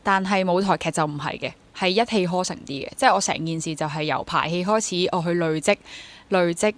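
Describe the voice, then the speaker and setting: Lower-pitched young Hong Kong woman, reflective. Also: fairly quick. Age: 20s. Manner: mixes English words into speech. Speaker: interview guest, freelance stage actor and drama teacher. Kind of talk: casual reflective interview talk.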